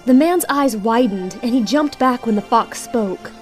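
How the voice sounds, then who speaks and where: A woman's voice: a little high, lively intonation, unhurried, soft background music. A woman reading scripted story narration for an audio drama.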